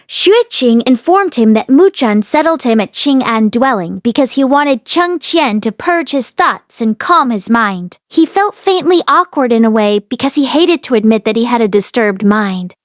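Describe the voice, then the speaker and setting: A woman's voice: fairly high pitch, dry recording, studio quality. A woman reading a novel aloud as scripted audiobook narration. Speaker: audiobook reader.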